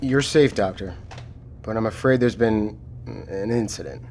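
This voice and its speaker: A man playing a steady, matter-of-fact military lieutenant taking charge after a disaster in an audio drama. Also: lowish voice, unhurried, background noise, lightly acted. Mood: grave, reassuring.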